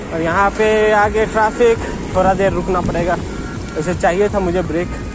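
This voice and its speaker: A man's voice: sits high, fairly even intonation, moderate pace. A man in his thirties vlogging while cycling on city roads, talking casually and cheerfully, with English phrases mixed in. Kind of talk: casual vlog narration while riding. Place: outdoors on a road, riding a bicycle. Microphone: helmet or handlebar action camera, later selfie at arm's length. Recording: loud music bed under outdoor traffic noise.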